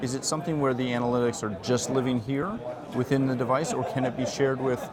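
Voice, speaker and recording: A man's voice: mid-range voice, steady tone, quick pace. Eager guy asking product questions, lots of fantastic, crowd noise all around. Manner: enthusiastic, frequent affirmations. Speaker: interviewer. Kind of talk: trade show interview. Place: busy trade show floor. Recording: crowd noise of a trade show floor.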